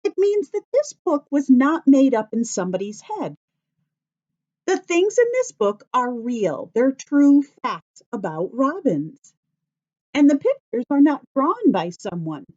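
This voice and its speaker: Children's story-time teacher, female. Lively, high-pitched, slow step-by-step delivery with encouraging questions. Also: lo-fi sound. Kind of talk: instructional talk to young children.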